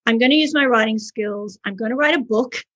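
A woman's voice: fairly high pitch, a little fast, fairly bright tone. A woman author and early childhood educator, answering podcast interview questions with earnest, passionate storytelling.

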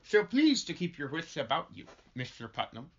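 A man acting a grave, zealous minister who hunts the devil, solemn and deliberate in an amateur play reading. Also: a little high, wildly swooping pitch, fairly bright tone, muffled recording, full character voice. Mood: ominous. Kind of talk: amateur stage-play read-through.

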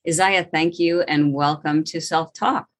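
A woman's voice: deep voice, fairly even intonation, measured pace. A woman hosting an interview podcast, introducing her guest and encouraging her with short replies. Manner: friendly, encouraging with short backchannels. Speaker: podcast host.

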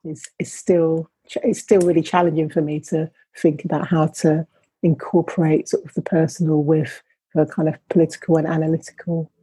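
A woman's voice: low-pitched, level tone, normal speed, warm tone, slightly rough, no echo, rough recording. A middle-aged woman, an academic guest, speaking thoughtfully and measuredly in conversation, often restarting her sentences. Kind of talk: reflective interview conversation.

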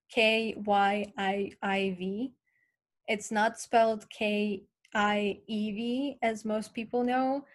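A woman's voice: on the high side, darkish tone. Ukrainian-accented young woman explaining earnestly. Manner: earnest, explanatory, lots of fillers. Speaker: guest. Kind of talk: casual interview chat.

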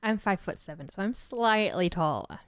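A woman from Yorkshire with a softened Yorkshire accent, co-hosting a true-crime podcast in a blunt, dry, joking way. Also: medium pitch, lively intonation, normal speed, smooth, dry recording. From Yorkshire, England. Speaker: podcast co-host. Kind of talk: casual true-crime chat.

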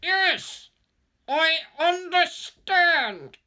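An actor playing an anxious man who narrates his own ordeal in a slow, descriptive literary audio story, with a husky voice. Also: extremely high pitch, big pitch swings, bright, forceful tone, exaggerated voice. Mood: frightened, strained. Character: anxious male former game show host, narrating his own ordeal.

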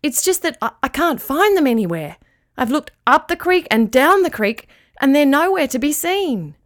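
A woman's voice: big pitch swings, moderate pace. Woman doing an eager young dog. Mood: worried. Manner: earnest, slightly raised pitch. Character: young male dog, eager and kind-hearted.

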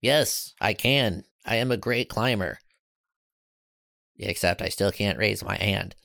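A calm, courteous robot who speaks in literal, formal sentences, put on by a male reader. The delivery is plain, with a gravelly texture and a close, dry sound. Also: lowish voice, takes it slowly, dry recording, heavily acted. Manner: flat, literal, formal phrasing. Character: polite calm boy-built robot, male.

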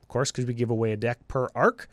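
A man's voice: medium pitch, fairly even intonation, quick pace, no room sound. A man co-hosting a casual podcast, upbeat and laughing often, his voice a bit scratchy from a cold. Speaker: podcast co-host. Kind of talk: casual chat.

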